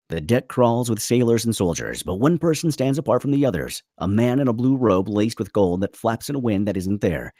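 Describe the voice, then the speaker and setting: A man's voice: fairly low pitch, dark tone, no room sound, studio quality. A man reading screenplay stage directions as the narrator of a table read.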